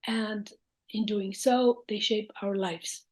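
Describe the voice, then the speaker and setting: A woman lecturing in English with a Slovene accent, speaking in a slow, reflective way with frequent hesitant fillers. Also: medium pitch, fairly even intonation. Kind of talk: academic lecture.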